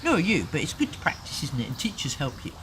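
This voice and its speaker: Female children's storyteller: very lively intonation, chatty asides, informal bedtime style, noisy ambience. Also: sits low, fast. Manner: animated, chatty asides to the listener. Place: in a tent.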